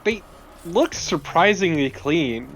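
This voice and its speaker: A man's voice: fairly high pitch, expressive, takes it slowly, fairly bright tone, a little hiss or hum. A man chatting casually as a Let's Play co-host, wry, joking and prone to swearing. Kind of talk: casual chat over gameplay. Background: game music.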